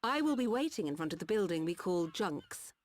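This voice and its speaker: A composed, low-voiced machine doctor. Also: female voice, big pitch swings, no echo. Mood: calm, explanatory. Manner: measured, formal, polite. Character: composed, caring mechanical-lifeform woman, a doctor. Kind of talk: scripted game cutscene acting.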